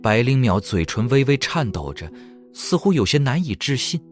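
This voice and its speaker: A man's voice: mid-range voice, sing-song, moderate pace. A man giving dramatic scripted narration for an audio drama. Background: faint music.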